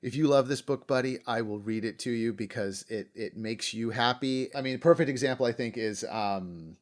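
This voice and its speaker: A man's voice: mid-range voice, normal speed. Middle-aged man chatting as podcast host. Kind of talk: casual interview chat.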